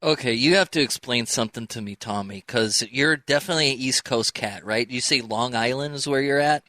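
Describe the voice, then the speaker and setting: A man's voice: mid-range voice, brisk, bright, forceful tone, dry recording. An American man hosting a casual interview, asking questions in an informal, chatty way. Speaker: interview host.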